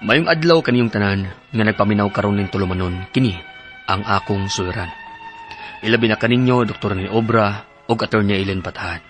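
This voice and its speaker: A man's voice: on the low side, a little fast, faint music behind, lightly acted. An actor with a Cebuano Filipino accent playing an agreeable married man in his thirties in a radio drama, polite and earnest. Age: 30s. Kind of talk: radio drama acting and narration.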